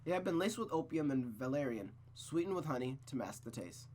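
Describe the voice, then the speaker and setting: A man's voice: mid-range voice, animated, a little fast, darkish tone, a little hiss or hum. A man reading a visual novel aloud in a suspenseful storytelling style, with playful, casual asides.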